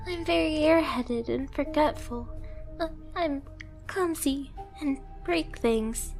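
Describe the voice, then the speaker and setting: A woman's voice: sits high, exaggerated voice. A woman playing a timid, clumsy young catgirl pet who is eager to please, speaking in a meek, hesitant, apologetic way in an anime-style ASMR roleplay. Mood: hesitant, hurt.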